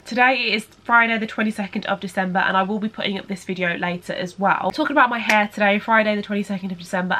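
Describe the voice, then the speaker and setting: A woman's voice: medium pitch, fairly bright tone. A young woman with a cold-stuffed nasal voice, chatting casually to camera as a beauty vlogger, full of "like" and "whatnot". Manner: chatty, rambling, fillers like "like" and "whatnot". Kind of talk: casual vlog chat to camera.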